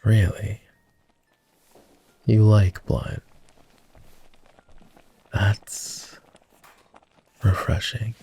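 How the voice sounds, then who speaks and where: A man voicing a polite, self-deprecating old vampire in an intimate roleplay ASMR style, with formal, hesitant phrasing and a soft, husky delivery. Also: lowish voice, flat delivery, extremely slow, pauses often, slight background noise, poor audio. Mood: wry, teasing. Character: polite, self-deprecating, gently teasing ancient male vampire.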